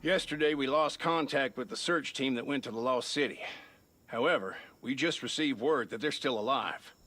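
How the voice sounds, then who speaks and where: An actor playing a serious male shelter leader in a game, briefing a rescue mission in a grave, measured way. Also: mid-range voice, bright, forceful tone, slight background noise, close to an everyday voice. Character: serious male shelter commander, steady and grim. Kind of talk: game cutscene voice acting.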